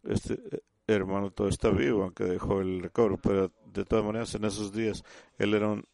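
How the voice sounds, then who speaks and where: A man giving a sermon in halting speech, often restarting and repeating words as he teaches the congregation. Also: fairly low pitch, level tone, gravelly, darkish tone, no echo. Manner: addresses listeners as "usted". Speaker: church speaker.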